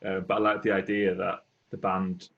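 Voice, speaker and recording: Deep husky man host, lo-fi. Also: moderate pace. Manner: relaxed, laughs along, brief interjections. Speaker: podcast host. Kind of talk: casual chat.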